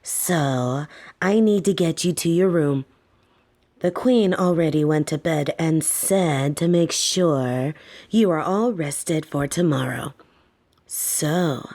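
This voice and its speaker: A woman playing a soft-spoken, domineering lamia caretaker in a roleplay audio, teasing and coaxing with a condescending, softly menacing edge. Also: low voice, slow pace, full character voice. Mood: gently bossy. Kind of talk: scripted roleplay audio, intimate second-person address.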